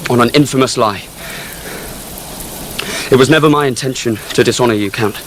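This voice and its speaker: A man's voice: medium pitch, brisk, a little hiss or hum, natural voice. A young man acting an earnest, honourable nobleman shamed by his family, speaking formally and contritely in a radio drama. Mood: contrite, grave. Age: young adult.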